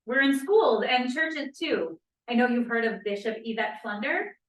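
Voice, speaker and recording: A woman's voice: on the high side, expressive, measured pace. Woman playing organized proud activist lady running the meeting, play reading, echoey and far from the mic. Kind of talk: staged table read of a play. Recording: roomy, distant room microphone.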